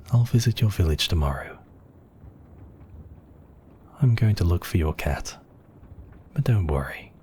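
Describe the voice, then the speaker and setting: Man playing a deep, slow, brooding vampire count. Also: a little hiss or hum. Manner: slow, hushed, intimate murmur with whispery moments. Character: brooding, possessive, tender male vampire count. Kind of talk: romantic ASMR roleplay.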